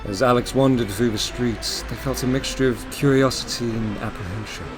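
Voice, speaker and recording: A man's voice: medium pitch, moderate pace. A man narrating an audio drama in a scripted, dramatic storytelling style. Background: music.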